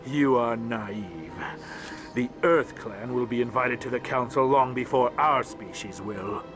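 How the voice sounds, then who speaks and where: A man's voice: mid-range voice, unhurried, lightly acted. Grumpy pompous alien ambassador guy acting, video game, always put out, music under it. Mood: resentful. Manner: pompous, aggrieved, dismissive. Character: grumpy, resentful male alien ambassador of a small merchant species. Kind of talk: video game character dialogue. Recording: music behind the voice.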